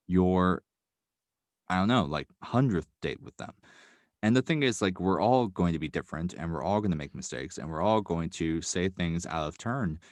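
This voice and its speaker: A man's voice: deep voice, no echo. A young man, a writer appearing as a podcast guest, rambling thoughtfully and using lots of "like" and "um". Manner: rambling, heavy on fillers like "like" and "um". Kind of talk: casual interview chat. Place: New York City.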